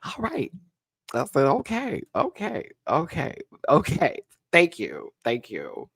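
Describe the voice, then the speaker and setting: A man giving an animated, sassy live review of a drag competition show, with big swings in pitch. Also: slow, vocal fry, husky, no echo, studio quality.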